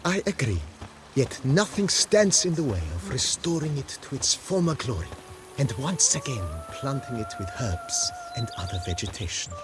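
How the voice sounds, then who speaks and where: An actor playing a courteous, proud majordomo in a video game, giving a guided tour in formal, precise, politely ornate speech. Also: male voice, mid-range voice, sing-song, normal speed, heavy background noise, natural voice. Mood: storytelling, wistful. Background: music.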